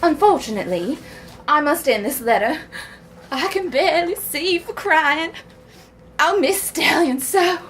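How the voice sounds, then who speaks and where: A woman's voice: high voice, animated, measured pace, a bit bright, slight background noise. A woman voicing a prim but bawdy Civil War wife who pines in letters, genteel, overwrought and melodramatic, for comedy. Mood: mournful, coy. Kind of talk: comic dramatic letter reading for a live audience.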